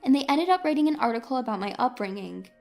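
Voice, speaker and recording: A woman's voice: sits high, animated, fast, gravelly, darkish tone, faint music behind. A woman reading a scripted first-person story as a dramatic narrator.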